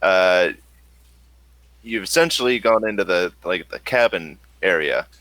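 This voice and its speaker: Deep-voiced guy game mastering a tabletop game, thinks aloud, very dry close sound. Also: lively intonation, a little slow, bright, forceful tone, no room sound, low-quality recording.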